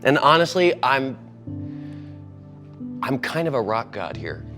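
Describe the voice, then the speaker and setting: An actor playing a cocky, big-hearted tech whiz with a brash, joking manner in a TV drama table read. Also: male voice, medium pitch, big pitch swings, unhurried, over music. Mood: cocky, sincere.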